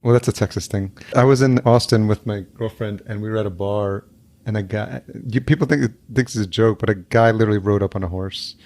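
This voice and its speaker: A man's voice: fairly low pitch, fairly quick, darkish tone. Laid-back man hosting a podcast. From El Salvador. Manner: laughs often, loose and rambling. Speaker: podcast host. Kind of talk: casual interview chat. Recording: remote call audio.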